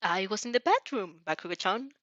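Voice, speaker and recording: A woman's voice: medium pitch, expressive, brisk, fairly bright tone, dry recording, close to an everyday voice. A shy, anxious schoolgirl, as a woman reader acts her out, speaks in story dialogue. She is hesitant, polite and evasive. The recording is dry and a little dull. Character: shy, soft-spoken, anxious schoolgirl hiding her distress. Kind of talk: fan fiction dialogue read aloud.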